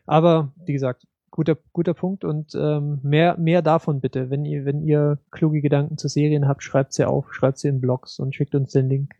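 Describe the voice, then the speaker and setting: A man co-hosting a casual podcast chat, talking haltingly with many fillers and restarts. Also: on the high side, level tone, creaky, dark tone, dry recording, highs cut off. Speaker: podcast co-host.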